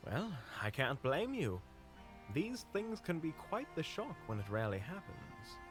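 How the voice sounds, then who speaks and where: A man's voice: on the high side, wildly swooping pitch, a little slow, faint music behind. A man playing a courteous, diplomatic hunter in a fantasy audio drama, with a polite, genteel manner. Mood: polite, calm. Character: courteous, diplomatic, smooth-talking monster hunter named Swan. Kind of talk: fantasy audio roleplay acting.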